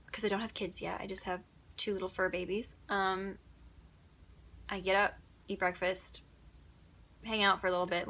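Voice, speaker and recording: A woman's voice: medium pitch, pushed and bright. A young woman from upstate New York, an audiobook narrator, chatting through Q&A answers with plenty of ums and little laughs. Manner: chatty, giggly, many ums. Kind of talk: casual Q&A answers.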